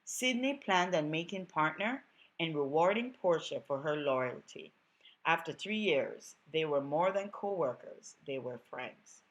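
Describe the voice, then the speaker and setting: Low-voiced Jamaican woman reading her novel. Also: expressive, a little slow, low-quality recording. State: a little under the weather. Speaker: author.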